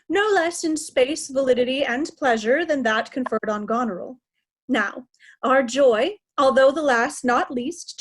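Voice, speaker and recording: Casting: woman as stately old monarch, higher-pitched voice, commanding, classical stage verse. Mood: pleased, commanding. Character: aging king, imperious, dividing his realm among daughters. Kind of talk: Shakespearean reader's theatre.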